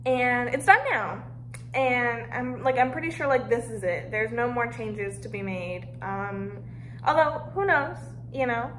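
A woman's voice: on the high side, a little slow, a bit bright. A young woman playwright introducing her play and reading out stage directions. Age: young adult. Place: indoor room, speaking at a table and over video call. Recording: clearly noisy room sound.

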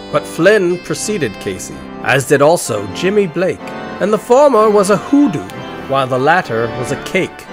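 A man giving a theatrical, storytelling recitation of poetry as a performer reading aloud. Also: mid-range voice. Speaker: poetry reader.

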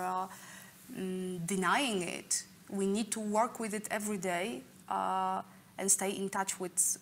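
A middle-aged woman giving an earnest explanatory talk, often pausing to search for words. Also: mid-range voice, slight background noise. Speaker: speaker leading an online talk. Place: indoor room, office-like.

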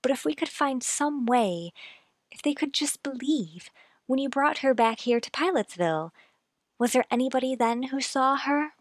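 A woman playing a gentle, concerned character in an old-time radio play, soothing and earnest. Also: high voice, lively intonation, moderate pace, no room sound, studio quality. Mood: troubled, earnest.

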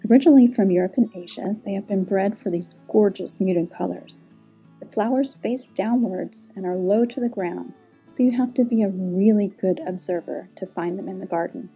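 A middle-aged woman, a garden manager, narrating a scripted plant tour in an informative, measured way. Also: mid-range voice, warm tone, husky, faint music behind, rough recording.

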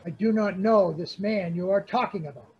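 A man's voice: sits high, normal speed, slightly rough, highs cut off. A man reading the part of a frightened, defensive disciple in an amateur church dramatic reading. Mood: vehement denial. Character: defensive Peter, frightened disciple loudly denying everything.

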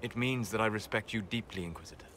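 Man acting measured, scholarly elven mage, noisy ambience. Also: fairly low pitch, fairly bright tone, natural voice. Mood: earnest, thoughtful. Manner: measured, deliberate, formal phrasing with pauses. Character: calm, scholarly, reserved elven mage, admiring yet condescending. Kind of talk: video game dialogue acting.

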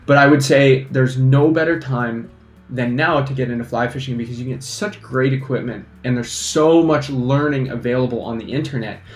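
A young man, a fly fishing instructor, giving energetic casual advice to camera at a desk microphone, often checking in with "right?". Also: mid-range voice, moderate pace, roomy sound, faint music behind. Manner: energetic, animated hand gestures, lots of "right?". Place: small indoor studio against a plain backdrop. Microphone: desk microphone in front.

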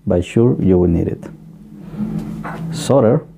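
Gravelly, dark-voiced man explaining workshop gear. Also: low voice, expressive. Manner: halting, plain advice with many fillers and restarts. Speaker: electronics workshop host. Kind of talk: casual hands-on equipment walkthrough. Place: electronics workbench room, indoors.

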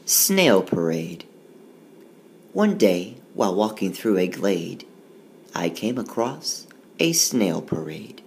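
A male teacher recorded over a tablet screencast, reading rhymes aloud in a slow, unhurried way. His pitch swings widely as he reads, then settles as he explains the counting. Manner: slow, sing-song rhyming read-aloud with lively pitch. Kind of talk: reading a picture book aloud and explaining math.

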